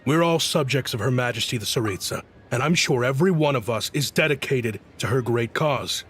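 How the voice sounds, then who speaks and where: A man voicing a diplomatic, conciliatory town official in a video game, speaking in measured, soothing speeches. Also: medium pitch, fairly bright tone, husky. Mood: placating.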